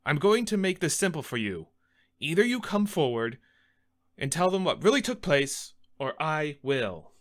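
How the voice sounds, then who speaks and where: A man performing a science-fiction monologue as a stern, principled starship captain, measured, grave and authoritative in his disappointment. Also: on the high side, big pitch swings. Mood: firm, stern.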